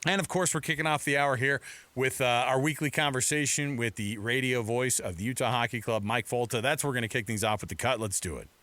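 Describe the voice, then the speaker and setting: An American man co-hosting a lively sports talk radio show. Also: mid-range voice, quick pace, no echo.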